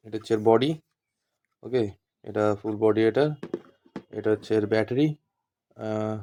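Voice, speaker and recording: A man's voice: fairly low pitch, steady tone, unhurried, dark tone. A man with a very level, unanimated voice gives an informal practical demonstration. He goes step by step and often repeats himself. The recording has noticeable noise and small clicks. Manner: repetitive step-by-step explaining. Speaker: instructor demonstrating walkie-talkies. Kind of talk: informal hands-on tutorial.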